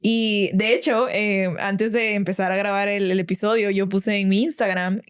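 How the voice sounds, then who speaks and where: A woman's voice: medium pitch, steady tone, normal speed, clear, resonant tone. Salvadoran woman in her 20s hosting a chill podcast chat, polite and friendly, lots of "este". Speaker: podcast host. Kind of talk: casual interview chat.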